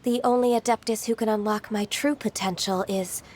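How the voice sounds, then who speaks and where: A woman playing a gentle, reserved young half-human immortal in a video game, polite and earnest. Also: mid-range voice, fairly even intonation, moderate pace, a little hiss or hum, lightly acted. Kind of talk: video game character acting.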